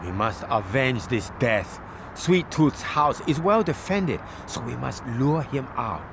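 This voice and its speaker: A vengeful gang boss with a mid-range, animated voice. He gives formal, commanding orders in a crime game, with clear noise behind him. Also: mid-range voice, slow pace. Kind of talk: dramatic game cutscene briefing.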